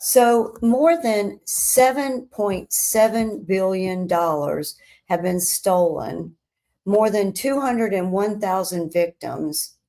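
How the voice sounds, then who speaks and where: A woman hosting a scripted explainer, speaking in deliberate, emphatic phrases with pauses. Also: mid-range voice, darkish tone. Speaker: host of a crime statistics show.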